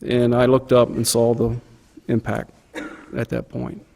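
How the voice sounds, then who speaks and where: A man's voice: medium pitch, monotone, measured pace, vocal fry, darkish tone. A middle-aged man tells a story from his working life as a pilot. He speaks informally and plainly, with vivid detail. He voices quoted exchanges as part of the account. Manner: plain-spoken, vivid, acts out quoted radio calls. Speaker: airline pilot giving a recollection. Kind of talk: informal spoken recollection of an event.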